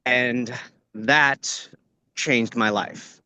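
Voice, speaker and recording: A man's voice: medium pitch, steady tone, very slow, pushed and bright, clean tone. An American man from California giving casual, earnest advice as a working actor, repeating phrases for emphasis. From Orange County, California. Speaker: working actor answering questions. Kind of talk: casual spoken advice to acting students. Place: outdoors on location, on a video call.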